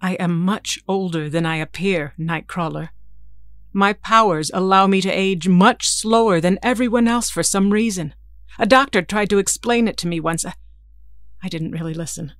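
A woman's voice: fairly low pitch, moderate pace, dry recording, natural voice. An actress playing an ageless mutant mother in an audio drama, cold, guarded and authoritative in clipped phrases. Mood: guarded, regretful. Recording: music under the dialogue.

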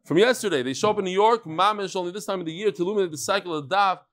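A middle-aged man leads a daily Talmud class in a large wood-paneled study hall, reading emails aloud. His voice sits a little high, and he throws chatty asides and Hebrew terms to the room around him. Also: rapid-fire, fairly bright tone. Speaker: Talmud teacher.

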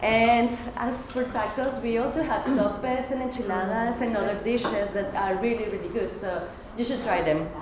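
Young woman from Mexico, student presenter, earnest and anecdotal; far camera, echoey classroom, steady hiss. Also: on the high side, moderate pace, hardly any pauses. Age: young adult. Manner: earnest, anecdotal, light humor. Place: classroom at a lectern.

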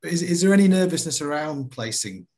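A man's voice: fairly high pitch, expressive, brisk, darkish tone. A man moderating a webinar panel, asking questions with small restarts over a video call.

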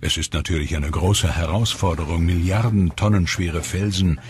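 A man narrating a science documentary in a measured, dramatic scripted delivery. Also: low voice, steady tone. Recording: studio voice-over with music behind. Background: clear background music.

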